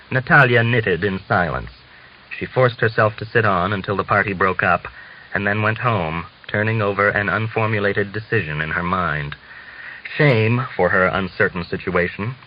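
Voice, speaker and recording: Low-voiced man narrating, muffled and hissy. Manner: measured, acts out dialogue. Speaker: audiobook reader. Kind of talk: scripted literary narration, reading a novel. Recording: muffled lo-fi audio with clear hiss.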